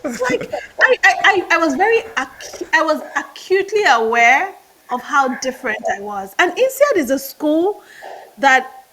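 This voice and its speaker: A Nigerian woman in her thirties with a Nigerian accent, telling stories as a podcast guest, animated and laughing often. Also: high voice, moderate pace, slight background noise, low-quality recording. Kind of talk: casual interview storytelling.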